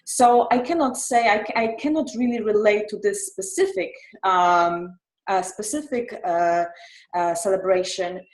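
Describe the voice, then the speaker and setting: A woman with a Polish accent speaks at a middle pitch in a halting, hesitant way. It is an impromptu speech, recorded with echoey room sound. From Poland. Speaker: club member giving an impromptu speech.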